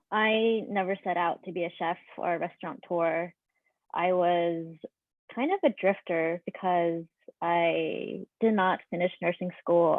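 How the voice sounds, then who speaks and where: Woman chef on a panel, earnest and pausing, lowish voice, thin phone-like audio. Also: no echo. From United States. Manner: reflective, earnest, frequent ums. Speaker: restaurant owner and chef. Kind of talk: panel discussion storytelling. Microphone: video call. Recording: dry, phone-like video call audio.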